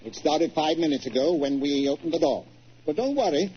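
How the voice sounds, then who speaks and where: An actor playing a wealthy, excitable older British collector with a stammering, blustery manner in a 1940s radio drama. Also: male voice, a little high, brisk, natural voice. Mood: assured. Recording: dull, noisy 1940s radio recording.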